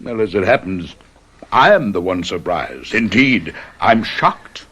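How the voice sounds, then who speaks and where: An actor playing a cruel, pompous English aristocrat in an old radio drama, sarcastic and menacing. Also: male voice, sits low, very animated, a little slow, a bit bright, full character voice. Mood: smug surprise. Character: cruel, gloating English aristocrat husband with a gun.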